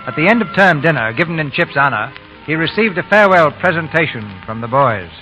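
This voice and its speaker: A man's voice: on the high side, big pitch swings, brisk, sharp tone, highs cut off, soft background music. A man hosting and narrating a 1930s radio drama broadcast in a formal, polished, declamatory scripted style.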